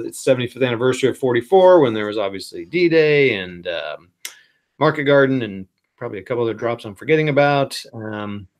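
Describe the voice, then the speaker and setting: A man hosting a board game stream, calmly explaining the rules with lots of ums, talking into a computer mic. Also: mid-range voice. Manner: unhurried, many ums, thinks aloud over rules. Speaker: wargaming stream host. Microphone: computer mic at his desk.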